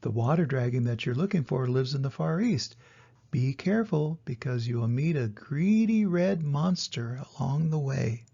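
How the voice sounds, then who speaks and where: Husky talking carp, as an older man reads it. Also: medium pitch, expressive, moderate pace, mellow, dull audio. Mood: advising. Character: talking carp fish, bargaining, helpful guide.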